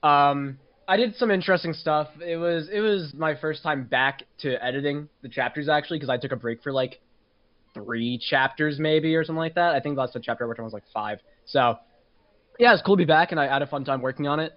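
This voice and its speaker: A man's voice: on the high side, brisk, dull audio. A man talking casually as a panelist on a group podcast. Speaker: podcast panelist, fandub chapter editor.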